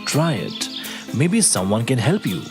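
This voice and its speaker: A voice actor playing a wise old talking walnut tree in a cartoon story, calm and lecturing. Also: medium pitch, unhurried. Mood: gentle. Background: music.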